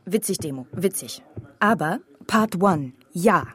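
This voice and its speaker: Radio drama role: young sister under strain, dry wit, animated pitch, conversational. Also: female voice, a little slow, slightly rough, heavily acted. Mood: stressed, sardonic. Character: stressed, sardonic young sister caring for brother. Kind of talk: naturalistic radio drama dialogue.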